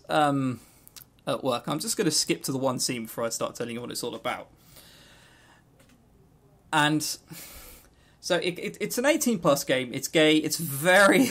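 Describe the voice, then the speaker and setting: A man co-hosting a podcast, chatting casually about games and telling stories in an animated, jokey, sweary way. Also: fairly high pitch. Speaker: podcast co-host.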